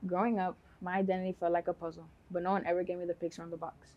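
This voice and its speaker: A woman from the United States reading a prepared personal speech in a measured, earnest way, in short phrases. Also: lowish voice, level tone, dull audio.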